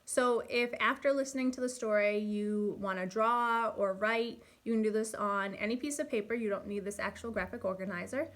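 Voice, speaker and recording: A woman's voice: mid-range voice, normal speed, hardly any pauses, a bit bright. A woman speaking as an elementary teacher, reading and explaining expressively to young children with guiding questions. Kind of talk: read-aloud and lesson for young children.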